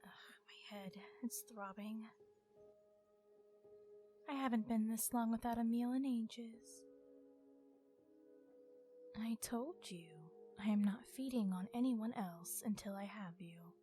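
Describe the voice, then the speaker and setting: An ancient female vampire, acted out by a woman for an ASMR roleplay, speaks in a soft, mellow tone over faint music. She is haughty, possessive and coolly refined, choosing formal words and often dropping to a hush. Her delivery is slow and stern, with irritation held under control. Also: fairly high pitch. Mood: irritated, menacing. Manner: haughty, measured, formal phrasing, often hushed.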